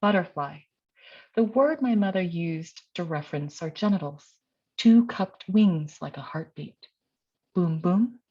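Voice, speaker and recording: Woman poet, middle-aged, slightly low register, public reading with casual introductions, muffled lo-fi capture. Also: animated, a little slow, warm tone, breathy edge. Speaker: poet and university teacher reading own work. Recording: dull, lo-fi sound.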